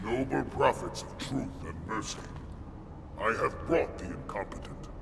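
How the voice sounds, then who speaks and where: An actor playing a brutish, scornful alien chieftain with a growling, imperious manner in a video game cutscene. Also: male voice, fairly low pitch, lively intonation, unhurried, gravelly, background noise. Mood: scornful, commanding. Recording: loud music over the dialogue.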